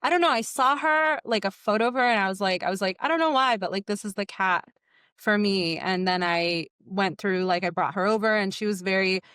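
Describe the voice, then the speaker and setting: A young woman from Egypt guests on a podcast, rambling through her thoughts with many "like" fillers. Her voice is smooth and clear, and the sound is dry and close. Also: medium pitch, a little fast, a bit bright, dry recording. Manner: rambling, heavy "like" fillers, restarts. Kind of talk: casual podcast chat.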